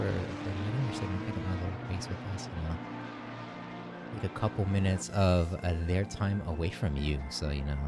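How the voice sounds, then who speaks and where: Low-voiced man hosting a casual racing stream. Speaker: race broadcast host interviewing drivers. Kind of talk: casual livestream post-race interview and sign-off.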